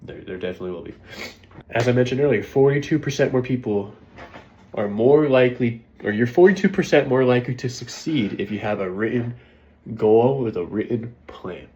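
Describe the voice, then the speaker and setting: A man's voice: mid-range voice, brisk, dark tone, dull audio, a little hiss or hum. Congested man giving casual motivational talk. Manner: conversational, keeps asking "right?". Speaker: vlogger.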